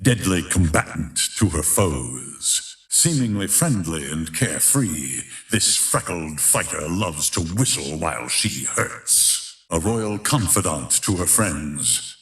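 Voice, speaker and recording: A man playing a grandiose, menacing tournament announcer in a video game, narrating in a theatrical, emphatic, drawn-out style. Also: low voice, big pitch swings, fairly bright tone, echoey room, exaggerated voice. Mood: grand, dramatic. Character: grandiose, menacing male fighting-tournament announcer of epic legend. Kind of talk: dramatic scripted fighting-game narration.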